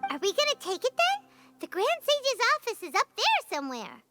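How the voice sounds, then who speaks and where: A woman voicing a tiny, chirpy, excitable fairy companion with a squeaky childlike voice in an anime-style video game. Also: normal speed, over music, exaggerated voice. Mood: curious, wary. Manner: refers to self by name, exclaims readily.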